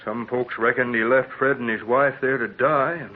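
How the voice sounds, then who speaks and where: A man's voice: mid-range voice, fairly even intonation, normal speed, lightly acted. A man plays a wry, skeptical townsman who talks in an easy folksy drawl. The recording is thin and phone-like, in the manner of an old radio play. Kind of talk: 1940s radio acting. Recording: tinny old radio broadcast.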